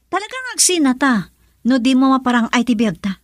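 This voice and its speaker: A woman's voice: high voice, big pitch swings, brisk, no room sound, clean studio sound. An actress playing a discontented young wife who nags and complains at her poor husband in an Ilocano radio drama. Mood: threatening, fed up. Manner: complaining, exasperated.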